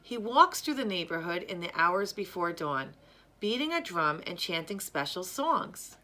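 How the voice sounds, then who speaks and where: Female storyteller for children's read-aloud. Bright tone, careful measured delivery, expressive. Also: fairly low pitch. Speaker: storyteller reading a picture book.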